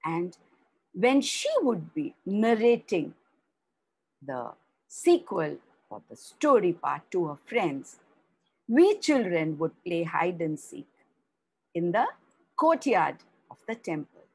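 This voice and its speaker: A woman reads a story aloud at an unhurried pace. Her voice is slightly husky and moves up and down expressively. She pauses to explain things, as if talking to children. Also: fairly low pitch, big pitch swings, darkish tone, poor audio. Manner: slow, explaining, with rising emphasis on key words.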